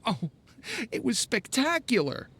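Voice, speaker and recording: A woman's voice: on the high side, big pitch swings, measured pace, a bit bright, breathy edge, exaggerated voice. A woman voicing a small, boastful paper creature in a video game, with a dramatic, grandiose storytelling manner. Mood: proud, dramatic. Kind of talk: English video game dub acting.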